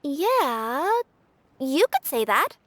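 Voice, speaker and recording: Polite cat-girl courier, high expressive voice, earnest, game cutscene. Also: extremely slow, slight background noise, heavily acted. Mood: evasive. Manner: courteous, formal. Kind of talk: video game cutscene acting.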